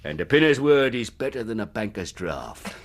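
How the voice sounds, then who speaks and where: An actor in a radio drama playing a genial, persuasive company recruiter, effusive and patronising. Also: male voice, fairly low pitch, sing-song, normal speed.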